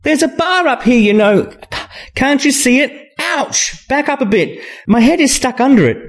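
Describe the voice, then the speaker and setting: A male narrator plays a distressed woman in an audiobook. The voice is pitched far up and moves around a lot. She exclaims and protests, pleading with a sarcastic edge. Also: sing-song, moderate pace. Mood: pained, annoyed. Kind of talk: audiobook character voice.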